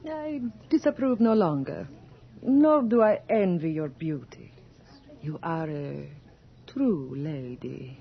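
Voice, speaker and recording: Low-voiced, stately aristocratic lady. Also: lively intonation, slow pace, gravelly, exaggerated voice. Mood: gracious, entreating. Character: gracious aristocratic lady guest, formal and deferential. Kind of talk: theatrical radio drama acting. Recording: muffled vintage radio drama recording.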